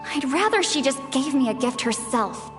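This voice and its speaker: An actress playing a proud, easily flustered young woman in a video game, expressive and quick to sound embarrassed. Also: high-pitched, over music. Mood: flustered, pleased. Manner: expressive, indignant when embarrassed.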